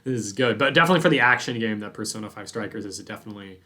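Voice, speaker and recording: A man's voice: lowish voice, expressive, rapid-fire, bright, forceful tone. A man hosting a gaming podcast, talking informally and enthusiastically in casual conversation. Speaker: podcast host.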